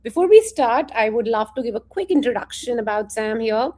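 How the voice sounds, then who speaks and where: Higher-voiced enthusiastic woman host. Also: animated, fairly quick, a little mellow. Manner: enthusiastic, effusive introductions. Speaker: host of a live interview stream. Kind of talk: interview hosting.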